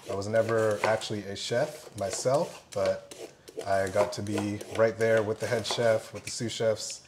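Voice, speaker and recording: A young man, a firefighter-paramedic, calmly explaining station life while cooking, with polite "yes, ma'am" replies. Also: on the low side, a little slow. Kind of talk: casual cooking chat. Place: large fire station kitchen, indoors. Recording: clear background noise.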